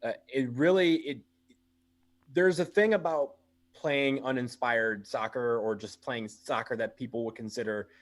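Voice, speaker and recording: A man's voice: mid-range voice, fairly even intonation, measured pace. A man talking frankly as a podcast guest and fan, in a conversational way. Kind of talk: casual chat.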